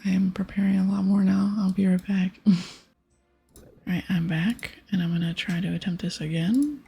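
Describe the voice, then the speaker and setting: A woman giving casual, gentle ASMR commentary on a video game in a soft, breathy, often whispered voice. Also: mid-range voice, steady tone, moderate pace. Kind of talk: ASMR game commentary, reading dialogue aloud. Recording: soft music behind. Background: music, keyboard clicks.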